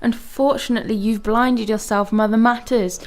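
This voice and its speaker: A woman's voice: on the high side, fairly even intonation, moderate pace, a little mellow, lightly acted. An actress in a radio drama playing a quirky, guarded, world-weary young woman with a reproachful way of talking. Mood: reproachful, sad.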